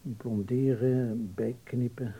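An actor in a Dutch radio drama playing a fussy, obliging hairdresser who murmurs and repeats himself deliberately. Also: male voice, mid-range voice, fairly even intonation, mellow, breathy edge, low-quality recording. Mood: obliging, appraising. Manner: murmured repetitions, deliberate hesitations.

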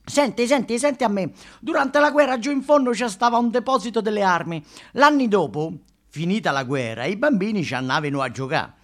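An elderly Roman neighbourhood gossip, acted by a man in a theatrical monologue. The voice is pushed up high, with emphatic, colloquial phrasing and insistent repetition, in a thick local accent. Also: animated, brisk.

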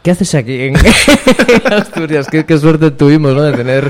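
A man's voice: on the high side, lively intonation, moderate pace. A man hosting a casual radio interview, asking friendly questions that he often ends with a tag question. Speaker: radio host.

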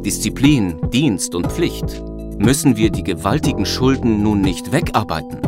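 A man's voice: medium pitch, natural voice. A man playing a polished financial magazine reporter, reading scripted promotional narration in a radio play. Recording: loud guitar music under the voice.

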